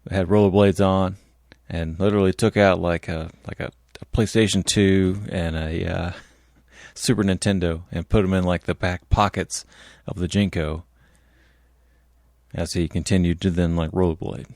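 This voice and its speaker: Deep-voiced male co-host with vocal fry, chatty storyteller, recorded dry. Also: fairly even intonation, moderate pace, a little mellow, dry recording. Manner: chatty, rambling, reads headlines aloud. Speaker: podcast co-host. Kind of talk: casual chat.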